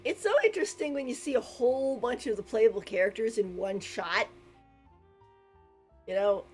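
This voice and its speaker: A woman streaming a game with casual live reactions, chatty and giggly, with a husky voice. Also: fairly high pitch, normal speed, darkish tone, faint music behind.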